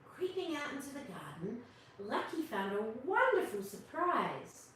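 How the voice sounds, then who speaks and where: Woman, children's storyteller, higher-pitched, slow pace, expressive asides, distant echoey recording with faint noise. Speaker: host reading picture books to children. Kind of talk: expressive storybook reading with asides to young listeners.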